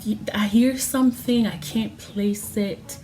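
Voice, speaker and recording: A woman's voice: medium pitch, steady tone, a little slow, mellow. A woman, a Lingala speaker, chatting casually to camera at home and laughing often. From Democratic Republic of Congo. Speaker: vlogger. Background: background music.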